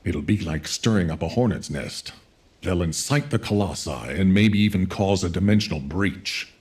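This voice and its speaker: An actor playing a firm, authoritative military commander in a game cutscene, resolute yet caring. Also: male voice, sits low, lively intonation, moderate pace, darkish tone.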